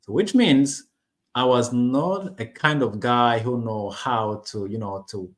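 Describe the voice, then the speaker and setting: A man's voice: mid-range voice, slow pace. A man from Rwanda, English not his first language, talking casually to camera with many restarts and fillers. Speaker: online business vlogger. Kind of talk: casual personal talk to camera.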